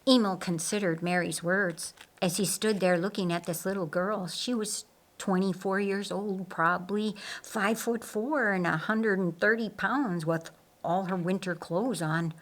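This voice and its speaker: A woman's voice: medium pitch, steady tone, a little slow. A woman reading a story aloud as scripted narration for an audiobook. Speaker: audiobook reader.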